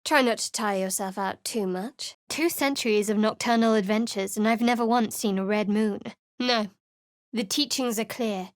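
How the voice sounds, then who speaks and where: A woman's voice: medium pitch, moderate pace, no room sound, close to an everyday voice. A woman voicing a guarded, sardonic young woman cleric in a studio game, speaking in a dry, curt, secretive way. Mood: wry. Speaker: game character.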